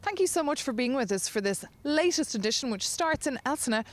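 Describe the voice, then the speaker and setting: Lively high-voiced woman presenting a show. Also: a little fast. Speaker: show host. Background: background music.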